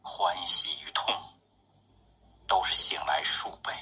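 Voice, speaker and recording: Husky low-voiced man reciting poetry. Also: takes it slowly, bright, forceful tone, in a whisper. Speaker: poet reading his own work.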